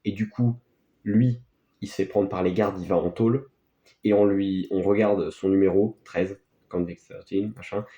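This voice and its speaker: A man's voice: deep voice, fairly even intonation, normal speed, warm tone, muffled recording. A man gives casual, unscripted film commentary as a video host, in a hesitant, rambling, offhand way. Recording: echoey, roomy sound.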